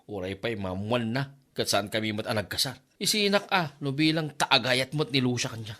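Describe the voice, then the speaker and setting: A man's voice: mid-range voice, moderate pace, sharp tone, close to an everyday voice. Flustered, stammering Ilocano village buddy played by a man. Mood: casual teasing. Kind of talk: comedy radio drama acting.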